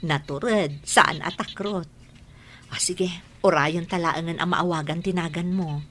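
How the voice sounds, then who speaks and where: A woman playing a gentle, reassuring mother in an Ilocano radio drama, soothing and encouraging. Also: fairly low pitch, fairly even intonation, measured pace.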